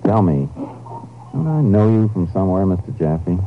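An actor playing a steady, probing Texas Ranger investigator in a 1950s radio drama, with a clipped, matter-of-fact American delivery. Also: male voice, low-pitched, moderate pace, slight background noise. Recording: dull 1950s radio recording, music.

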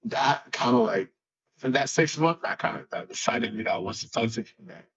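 Husky-voiced man in a halting chat. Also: mid-range voice, fairly bright tone. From Haiti. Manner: halting, searching for words, many fillers. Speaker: guest musician. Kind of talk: casual interview. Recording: dull lo-fi sound.

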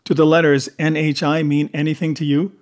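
Male narrator as police lieutenant, hot-tempered boss, barking, blustering, sputtering, raised pitch, audiobook drama. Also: little pitch movement, a little fast, lightly acted. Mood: angry, accusing. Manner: barking, sputtering when flustered.

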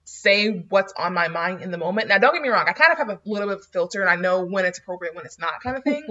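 A woman's voice: medium pitch, expressive, fast, sharp tone, dull audio. A woman in her early twenties chatting casually as a podcast co-host, blunt and full of fillers and laughs. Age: 20s. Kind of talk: casual chat.

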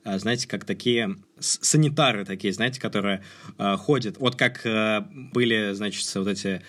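A man co-hosting a podcast, talking casually and haltingly about film, groping for words in colloquial phrases. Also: lowish voice, a bit bright. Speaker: podcast host.

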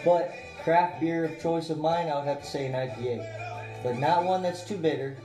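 A man with a country accent and Cuban roots, a podcast guest chatting in a loud, joking way. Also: fairly high pitch, normal speed. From Cuban family roots. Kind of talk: casual chat. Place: a house. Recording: roomy sound with music behind.

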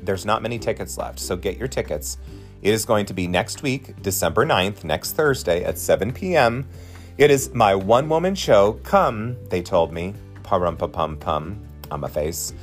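A man's voice: on the low side, expressive, normal speed, faint music behind. A man in his forties hosting a podcast, talking in an effusive, encouraging way straight to his listeners. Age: 40s. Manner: chatty, effusive and encouraging, addresses listeners directly. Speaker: podcast host and drag performer. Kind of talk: casual podcast monologue.

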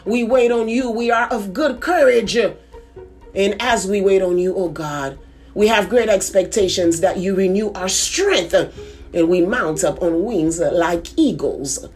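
A woman's voice: mid-range voice, expressive, unhurried, darkish tone, faint music behind. A woman leading a fervent intercessory prayer, declaiming in an impassioned way with repeated refrains. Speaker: prayer leader.

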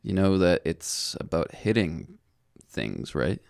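A teenage boy with a low, gravelly voice joins a casual podcast as a guest. He is laid-back and teases the host. The recording is dry and close. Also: sing-song, slow pace, a little mellow, no room sound.

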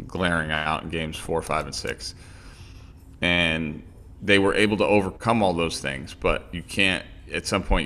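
Man, low voice, bright edge, opinionated sports chat. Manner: opinionated, rambling with restarts. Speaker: podcast co-host.

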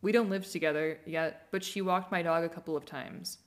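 A woman's voice: fairly low pitch, fairly even intonation, fairly quick. A woman co-hosting an advice show, chatting casually and reading listener questions aloud.

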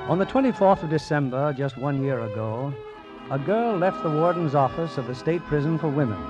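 A man announcing and narrating a scripted 1940s radio drama in a measured, formal manner. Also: a little high, expressive. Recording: dull old radio recording with music.

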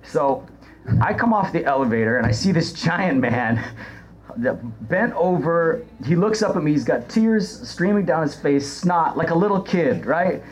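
A man's voice: on the high side, lively intonation, measured pace, rough recording. A man telling comic stories casually as a podcast guest, with a chuckling, stammering delivery.

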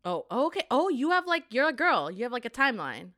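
Woman, podcast host, teasing, laughs often, animated voice, dry close mic. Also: a little high, brisk, dry recording. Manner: teasing, laughs a lot, frequent "like". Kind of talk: casual podcast interview.

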